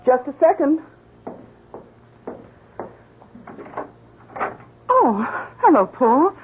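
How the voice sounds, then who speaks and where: An actress playing a worried, uneasy wife in a 1970s radio drama, with an expressive, hesitant delivery. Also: female voice, high voice, unhurried, slight background noise. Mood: friendly. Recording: muffled old radio broadcast.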